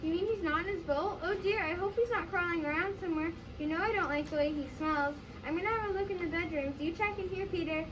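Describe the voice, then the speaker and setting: A woman's voice: very high-pitched, fast, few pauses. A young female reader playing a fussy, matter-of-fact mother in readers theater. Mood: puzzled, practical. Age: child reader, fourth grade. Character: fussy mother who dislikes turtle smell. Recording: distant microphone, clear background noise.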